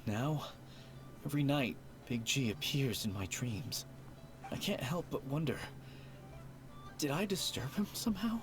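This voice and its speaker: An actor playing a troubled elderly man in a video game, wistful and halting as he speaks. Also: medium pitch, lively intonation, music in the background, natural voice. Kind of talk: video game character acting.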